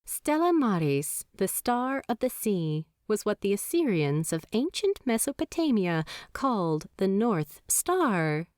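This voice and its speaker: A woman narrating a fanfiction story in an expressive, measured storybook reading. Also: mid-range voice, no echo, clean studio sound.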